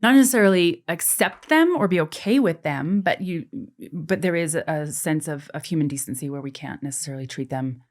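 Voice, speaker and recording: A woman's voice: low-pitched, a little fast, few pauses. A woman in her forties, a guest on a podcast, talking in an earnest, reflective way and often repeating words as she thinks. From United States, military family. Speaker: guest, adoptive mother and author. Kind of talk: casual podcast conversation.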